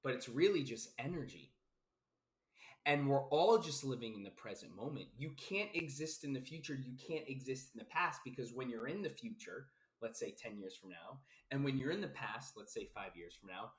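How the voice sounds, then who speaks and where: A man's voice: medium pitch, animated, normal speed. Emphatic life coach man, roomy sound. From South Florida, US. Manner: emphatic and repetitive, casual swearing, many fillers. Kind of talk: casual philosophical podcast monologue. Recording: roomy echo.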